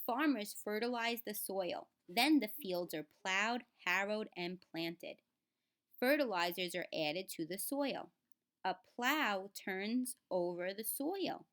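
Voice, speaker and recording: A woman's voice: medium pitch, animated, slow pace, fairly bright tone. Woman reading aloud to children. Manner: instructive, addresses children directly, sounds out words. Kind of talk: read-aloud to children with explanatory asides.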